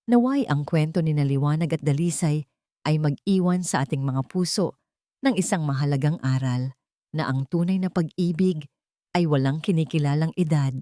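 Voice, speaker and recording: A woman's voice: deep voice, dark tone, no room sound, clean studio sound. A woman narrating a scripted romantic drama story as host, in an earnest, sentimental manner.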